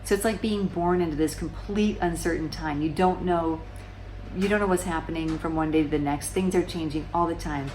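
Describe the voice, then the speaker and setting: A woman's voice: sits low, fairly quick, a little mellow, a little hiss or hum, poor audio. A woman in her forties explaining current events to camera in a casual, conversational way, often restarting sentences and asking rhetorical questions. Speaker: vlogger. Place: small room indoors, seated on a sofa.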